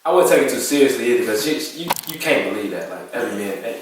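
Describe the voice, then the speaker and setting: A man's voice: mid-range voice, lively intonation, fast, a little hiss or hum. A twenty-three-year-old man from Chicago, speaking bluntly and laughing easily as a panelist in a casual discussion. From Chicago, USA. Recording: echoey room, distant mic.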